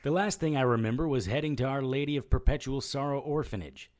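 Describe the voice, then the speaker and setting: An actor playing a wisecracking, sardonic immortal detective in a noir audio drama, narrating and trading dialogue. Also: male voice, medium pitch, fast, a little mellow, lightly acted. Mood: sardonic banter. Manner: wry, sarcastic. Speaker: lead character and narrator.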